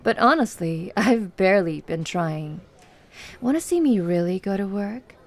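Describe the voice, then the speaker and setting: Actress for flirty, self-assured tomboy MMA trainer, ASMR roleplay. Lowish-energy breathy delivery, unhurried, gravelly fry, playful seduction. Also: female voice, expressive. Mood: instructing, playful. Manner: slow, teasing, giggly, encouraging. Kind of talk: ASMR roleplay, personal address to listener.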